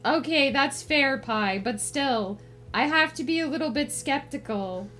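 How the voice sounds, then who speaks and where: A woman's voice: sits high, animated, measured pace, sharp tone, faint music behind. A woman livestream host chatting casually with viewers in a playful, cutesy put-on character voice.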